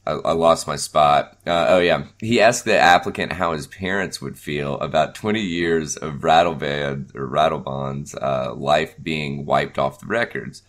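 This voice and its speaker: Deep-voiced laughing young man. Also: measured pace, hardly any pauses, a bit bright. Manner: laughs often, hesitant with many fillers. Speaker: podcast co-host. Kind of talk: casual podcast chat.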